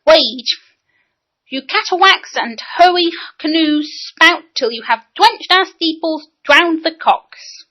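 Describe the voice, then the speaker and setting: A woman's voice: very high voice, lively intonation, slow pace, pushed and bright, pitched above the performer's own voice, exaggerated voice. A woman voicing a little boy who grandly recites speeches but cannot pronounce his R's. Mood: pompous. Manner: pompous declaiming, R's turned into W's. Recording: dull lo-fi recording.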